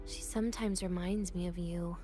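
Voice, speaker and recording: A woman's voice: on the low side, steady tone, moderate pace, music in the background, lightly acted. A woman voicing a cool, teasing young female student in a video game, with a dry, composed delivery. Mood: wry, playful.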